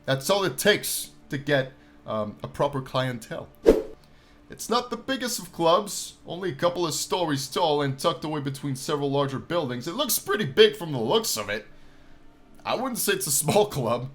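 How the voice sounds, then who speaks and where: A man in his twenties hosting a game playthrough, reading story text aloud with theatrical, drawn-out delivery and casual asides. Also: fairly high pitch, big pitch swings, fairly bright tone, faint music behind. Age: 20s. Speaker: gaming channel host.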